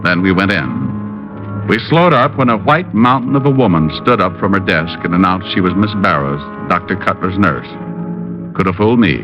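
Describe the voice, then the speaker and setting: Low-voiced wisecracking American radio detective. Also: male voice, lively intonation, normal speed. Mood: dry narration. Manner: wry, glib, sardonic. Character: wisecracking, quick-thinking male private detective. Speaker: lead actor and narrator of a detective series. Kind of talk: 1940s-50s radio detective acting and narration. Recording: muffled old radio recording, faint music.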